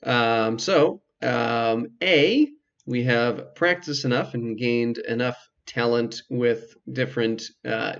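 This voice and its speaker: A man hosts a casual game walkthrough in a creaky, mid-pitched voice on a dull, lo-fi mic. He explains each step in a measured, hesitant way with dry asides. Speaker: video game walkthrough host. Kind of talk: casual let's play commentary.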